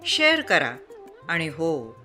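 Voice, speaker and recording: A woman narrating a scripted moral story in a measured, expressive storytelling manner. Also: deep voice, soft background music. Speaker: storyteller and channel host.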